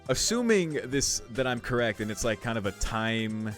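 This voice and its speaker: A man's voice: mid-range voice, very animated, moderate pace, faint music behind. A young man giving casual playthrough commentary, thinking aloud informally and reading game text out as he goes. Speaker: video game streamer.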